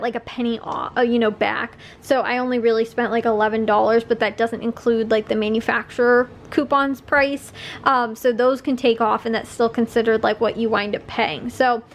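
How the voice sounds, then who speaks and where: A woman's voice: on the high side, slight background noise. A young woman vlogger chatting casually, rambling with lots of fillers and a slightly sniffly voice. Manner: chatty, rambling with many fillers and little laughs. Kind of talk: casual chat.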